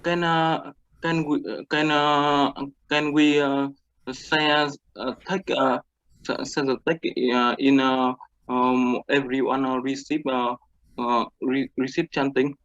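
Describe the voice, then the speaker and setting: A man whose first language is Vietnamese, haltingly asking a question in English on a video call. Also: medium pitch, level tone, a bit bright, clean tone, muffled recording. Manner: hesitant, searching for words. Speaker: participant.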